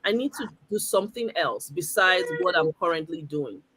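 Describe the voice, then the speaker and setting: A woman's voice: fairly low pitch, expressive, normal speed, a bit bright, poor audio. A woman hosting an online chat show, talking straight to her viewers with enthusiasm. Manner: enthusiastic, addresses viewers directly. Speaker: host.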